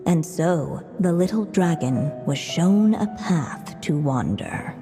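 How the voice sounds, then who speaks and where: A woman narrating a storybook tale softly and gently, over faint music. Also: sits low, lively intonation, long reverb tail, full character voice. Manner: soft, slow and gentle.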